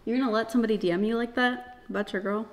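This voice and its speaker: Woman in her twenties, fairly high pitch, casual co-host, reading aloud, reverberant indoor room. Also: steady tone, racing. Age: 20s. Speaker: vlog co-host reading out messages. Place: indoor kitchen bar area at home.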